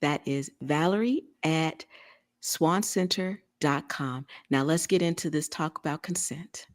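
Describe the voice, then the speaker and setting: A woman's voice: low-pitched, unhurried. A woman with a Texas accent co-hosting a casual talk show, making announcements with joking asides. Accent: Texan. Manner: chatty, joking asides while giving announcements. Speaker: radio show co-host.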